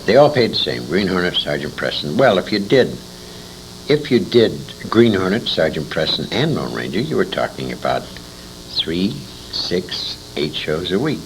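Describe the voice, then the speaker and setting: A man's voice: low voice, big pitch swings, moderate pace. An older American man reminiscing in an interview, assured and emphatic as he sets out the details. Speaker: interviewee, former radio actor. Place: convention interview recording. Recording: noisy, humming amateur tape recording.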